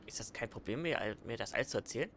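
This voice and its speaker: A man's voice: medium pitch, lively intonation, racing, a bit bright, slight background noise. A man giving casual let's play commentary as he reacts to a video game. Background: faint game music.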